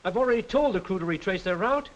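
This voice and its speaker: A man's voice: sits high, expressive, fast, highs cut off. An actor playing a harried, obedient official who reports to his bosses, in a 1940s film. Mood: reporting. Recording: hissy old 1940s film soundtrack.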